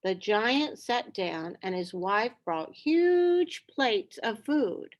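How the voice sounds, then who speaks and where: A woman reading a children's story aloud in an expressive, deliberate way. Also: medium pitch, dull audio. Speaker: storybook reader. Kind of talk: scripted children's story narration.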